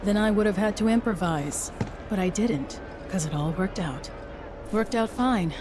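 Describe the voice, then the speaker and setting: Woman as shrewd game fixer lady, mid-pitched, frank and clipped, noisy ambience behind. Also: a little slow, close to an everyday voice. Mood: calm, frank. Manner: matter-of-fact, clipped phrases. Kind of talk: video game cutscene acting.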